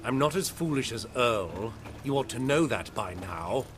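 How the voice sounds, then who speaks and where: An actor playing a smug, menacing crime boss in a video game, with mock courtesy and sardonic, formal phrasing. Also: male voice, medium pitch, animated, a little slow, fairly bright tone, slight background noise. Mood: smug menace. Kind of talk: video game villain acting.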